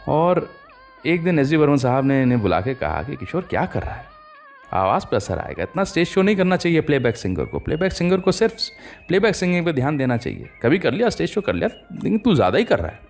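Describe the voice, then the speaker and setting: A man's voice: fairly high pitch, big pitch swings, quick pace. A man hosting a radio show, telling anecdotes in a storytelling manner and voicing the quoted exchanges. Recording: music playing behind the voice. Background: music.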